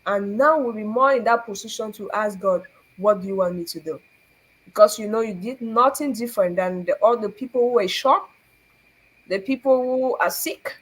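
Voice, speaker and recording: A woman's voice: mid-range voice, normal speed, breathy edge, low-quality recording. A young Cameroonian woman hosting a faith talk, earnest and preachy, asking rhetorical questions and laughing often. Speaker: show host.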